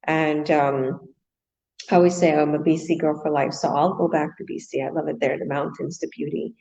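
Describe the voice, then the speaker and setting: A woman's voice: sits low, steady tone, moderate pace, creaky, darkish tone. A Canadian woman hosting a casual live stream, chatting enthusiastically and laughing often. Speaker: host. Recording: dull lo-fi stream audio.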